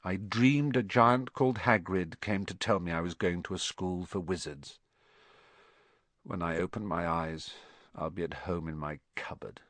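A man's voice: sits low, unhurried, fairly bright tone, no room sound, pitched below the performer's own voice. A man voicing an eager but anxious young boy from a storybook, questioning and wide-eyed. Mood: glum, doubtful.

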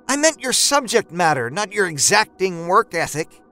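A man's voice: very high voice, animated, slow, soft background music, voice pushed up, heavily acted. A man voicing an exasperated adult wife who scolds her husband bluntly. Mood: scolding exasperated. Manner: sharp, scolding.

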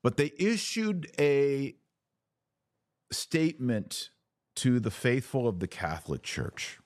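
A man's voice: medium pitch, huge pitch swings, takes it slowly, dry recording, clean studio sound. A man hosting a religious commentary podcast, explaining things emphatically and didactically, often posing questions and answering them himself. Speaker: podcast host.

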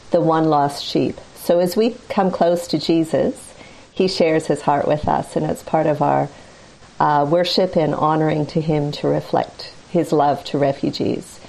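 A woman, a guest speaking in a steady, earnest way about her faith work. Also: low voice, creaky, rough recording. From North America. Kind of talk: conversational testimony.

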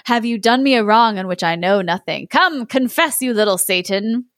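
A woman's voice: medium pitch, lively intonation, normal speed, a bit bright, no echo, studio quality, natural voice. A woman voicing a greedy, menacing male wizard in a storybook read-aloud, demanding and exclamatory. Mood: prying, eager.

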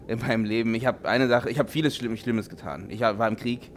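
A man playing a grumbling middle-aged husband and retired ghost hunter, halting and deadpan, in an improvised audio play. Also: mid-range voice, slight background noise, lightly acted. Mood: confessing.

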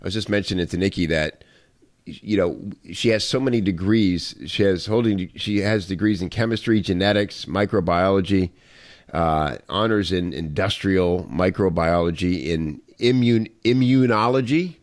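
A man's voice: low voice, moderate pace. A man hosting a podcast, introducing and questioning his guest in an enthusiastic, rambling way with many fillers. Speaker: podcast host. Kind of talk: interview conversation.

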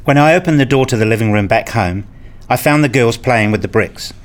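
A man's voice: medium pitch, brisk. A man narrating a scripted radio play in the first person.

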